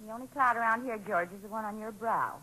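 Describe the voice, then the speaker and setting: A woman playing a sensible, gently wry young wife and mother in a 1940s radio sitcom, matter-of-fact in manner. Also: a little high, quick pace, crowd noise, natural voice. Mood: wry, gentle. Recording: very noisy vintage 1940s radio recording.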